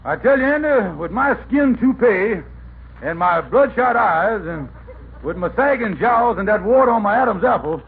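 An actor playing a blustering, scheming middle-aged husband with grandiose, folksy speech in an old-time radio comedy. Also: male voice, high-pitched, expressive, normal speed, creaky, husky, full character voice. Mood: self-pitying. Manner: grandiose, folksy nonstandard grammar. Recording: tinny, noisy old radio recording.

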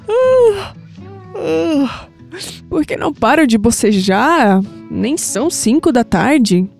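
Troubled young Brazilian woman acted by a woman, pensive and mellow, slow delivery, audio drama with music. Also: fairly high pitch, long reverb tail, exaggerated voice. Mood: drowsy, yawning. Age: young adult. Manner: pensive, sighing. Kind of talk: scripted audio drama acting.

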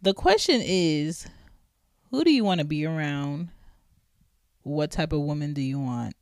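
A woman's voice: sits low, animated, unhurried, a little mellow, no room sound, clean studio sound. A woman in her thirties hosting a podcast, talking bluntly and emphatically with plenty of profanity. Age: 30s. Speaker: podcast host. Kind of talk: casual monologue.

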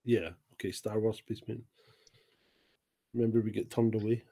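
A man's voice: on the low side, level tone, normal speed, mellow, breathy edge. A man co-hosting a podcast, chatting casually, laughing often and swearing freely. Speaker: podcast co-host. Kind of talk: casual chat.

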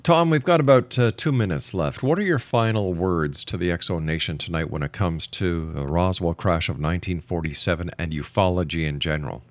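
A man's voice: deep voice, fairly quick, hardly any pauses, no room sound. A man hosts a radio talk show. He speaks like a courteous announcer. The sound is close and dry, as from a broadcast studio. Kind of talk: talk radio hosting, interview.

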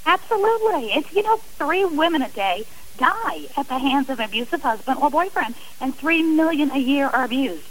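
A woman's voice: high-pitched, animated, moderate pace, rough recording. A middle-aged woman giving earnest, passionate interview answers as a radio guest, speaking in long emphatic appeals. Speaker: radio interview guest, advocate against domestic violence. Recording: hissy radio interview audio.

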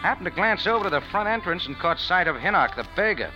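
An actor playing a wry, tough American cafe owner and reluctant sleuth, narrating and acting in a 1940s radio drama. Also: male voice, high-pitched, big pitch swings, fast talker, muffled recording. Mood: tense narrating. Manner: wry, laconic, hard-boiled. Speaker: lead character and narrator. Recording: old radio recording with music.

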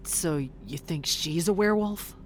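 A blunt, streetwise woman passenger as a woman acts her in an audio drama. Her voice is fairly low and she takes her time, skeptical and plain-spoken. Soft ambient noise runs behind her. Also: a little slow, natural voice. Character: blunt, streetwise, world-naive female bus passenger. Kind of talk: audio drama acting, conversational. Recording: faint background ambience noise.

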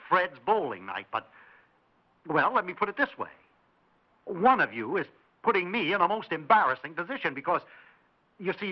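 An actor playing a glib, evasive stranger in a 1960s radio drama, halting at times and smoothly insinuating at others. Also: male voice, high voice, exaggerated voice. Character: mysterious male stranger, glib, evasive, brazenly self-assured intruder. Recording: dull 1960s radio recording.

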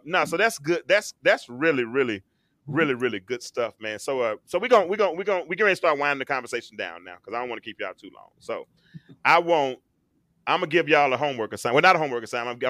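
Animated male talk show host. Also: quick pace, dry recording. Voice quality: bass in the voice. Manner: animated, repeats himself, restarts phrases. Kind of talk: casual chat.

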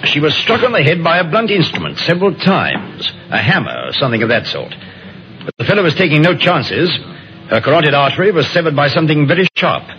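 A man playing a brisk, clinical police doctor in a 1950s radio drama. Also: a little high, sing-song. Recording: dull, noisy old radio recording.

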